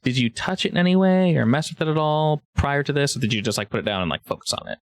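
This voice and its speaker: A man's voice: a little high, animated, fast. A man improvising narration as game master of a tabletop roleplaying game, informal and joking with the players.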